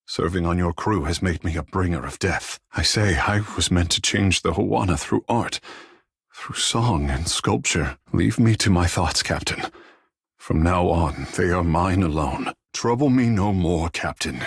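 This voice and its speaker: A proud young sea godling, part fish, for a fantasy game. The voice is low and husky, with pitch that swoops freely through poetic, theatrical phrasing. It sits close and dry. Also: male voice, expressive, unhurried, no room sound, exaggerated voice. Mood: wounded, bitter. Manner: theatrical, lyrical, flowery turns of phrase. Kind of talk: video game voice acting.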